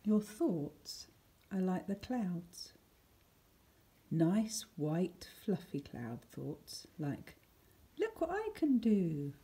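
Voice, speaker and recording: Expressive, slow-paced woman reading a storybook. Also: on the low side. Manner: deliberate, expressive reading with dramatic emphasis and hushed words. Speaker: teacher reading a picture book aloud. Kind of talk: expressive scripted storybook narration for children.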